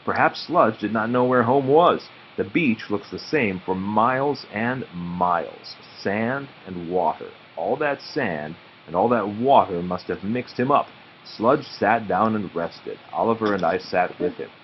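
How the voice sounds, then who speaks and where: A man narrating a children's story aloud expressively and deliberately, with dramatic pauses. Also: fairly low pitch, expressive. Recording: muffled audio with faint background noise.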